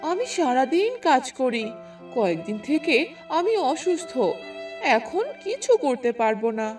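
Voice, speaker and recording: A woman voicing a gentle, long-suffering elder wife in a cartoon fairy tale, speaking plaintively. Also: sits high, very animated, measured pace, recorded from a distance, soft background music. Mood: weary, pleading.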